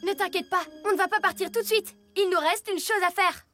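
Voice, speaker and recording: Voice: extremely high pitch, fairly even intonation, a little fast, pushed and bright. An actor playing an eager, encouraging six-year-old boy superhero in a French children's cartoon, with music behind. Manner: eager, exclamatory. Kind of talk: French animated children's cartoon dub acting.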